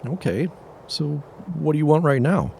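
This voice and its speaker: Animated, earnest young man. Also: fairly high pitch, moderate pace, vocal fry, a little hiss or hum. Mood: earnest, questioning. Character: earnest young suitor, would-be policeman in 1917. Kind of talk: period audio drama acting.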